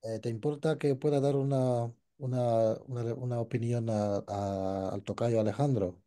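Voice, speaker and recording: A man's voice: medium pitch, steady tone, normal speed, warm tone. A man speaking at a conference, sharing his experience with hesitant speech full of fillers. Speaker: conference participant. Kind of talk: conversational remarks at a conference.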